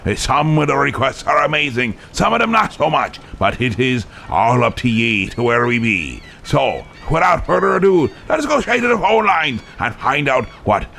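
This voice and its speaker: A man's voice: mid-range voice, big pitch swings, moderate pace, vocal fry, hardly any pauses, pushed and bright, slight background noise. A male radio host who takes listener requests while talking in a playful pirate manner. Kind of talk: request show hosting.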